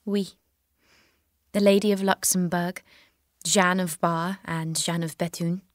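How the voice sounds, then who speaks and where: A devout, plain-spoken young prisoner in a medieval audio drama. Her voice is on the low side, earnest and direct, recorded close and dry. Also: fairly even intonation, takes it slowly, dry recording. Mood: subdued testimony. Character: devout, plain-spoken young French peasant warrior maiden held prisoner.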